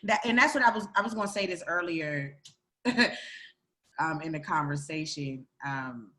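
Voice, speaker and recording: A woman born in Greece chatting casually on a podcast, animated, laughing often and swearing freely. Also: deep voice, moderate pace.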